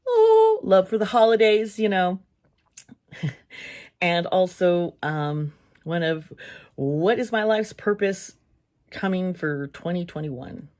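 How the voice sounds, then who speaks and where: A woman with a hoarse, worn voice chatting casually to camera as a channel host, rambling a little and laughing often between thoughts. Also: lowish voice, sing-song, takes it slowly, long pauses, a little mellow. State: hoarse, losing her voice. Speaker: tarot reader and channel host.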